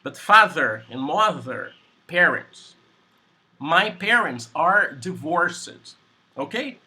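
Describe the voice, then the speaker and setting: A Brazilian man with a Portuguese accent teaching English on a video call, speaking in slow, simple sentences and repeating words. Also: fairly high pitch, sing-song, sharp tone, slightly rough, poor audio. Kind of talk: online English class conversation.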